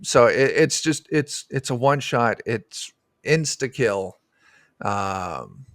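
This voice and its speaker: A middle-aged man talks in an informal, rambling and enthusiastic way. His voice has an ordinary male pitch and is recorded dry, as on an indoor video call. He sounds like a designer explaining his game. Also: lively intonation, slow pace, a bit bright, no room sound. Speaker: game designer, podcast guest. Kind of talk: casual explanatory chat about a tabletop game.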